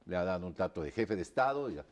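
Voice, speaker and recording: Deliberate low-voiced panel commentator. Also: male voice, lively intonation. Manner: deliberate, repeats "me parece" and tags "¿no?". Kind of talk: political analysis on a talk panel.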